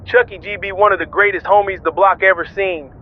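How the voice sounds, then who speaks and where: A man's voice: high voice, fairly even intonation, a little fast, close to an everyday voice. A man playing a swaggering, sentimental pirate radio DJ who talks in melodramatic street slang. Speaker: radio DJ host. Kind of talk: slangy improvised-sounding radio monologue. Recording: phone-like radio band, music behind. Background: music and vehicle noise.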